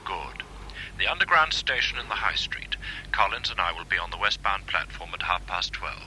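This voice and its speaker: A British actor in a radio drama playing a smooth, menacing blackmailer, mock-polite and precise, heard over a telephone. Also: male voice, low-pitched, lively intonation, moderate pace, a crowd in the background. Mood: cool menace. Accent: British English. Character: smooth, menacing male blackmailer, coolly mocking. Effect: voice on a telephone line. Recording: sharp telephone sound, faint noise.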